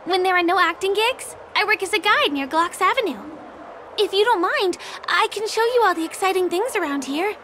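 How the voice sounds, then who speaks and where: An actress voicing a cheerful, gentle young woman who works as a local tour guide in a video game, speaking politely and eagerly. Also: very high-pitched, animated, brisk, a little hiss or hum, full character voice. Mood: polite, earnest. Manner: polite, friendly, eager to please. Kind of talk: English video game dub dialogue. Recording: game music behind the voice. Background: background game music.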